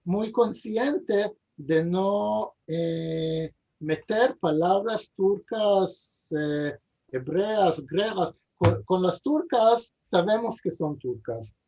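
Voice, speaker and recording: A man being interviewed over a video call, speaking haltingly with many pauses, fillers and repeated words. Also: high voice, lively intonation, a little mellow. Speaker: interview guest. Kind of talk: hesitant conversational interview. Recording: dull lo-fi video call audio.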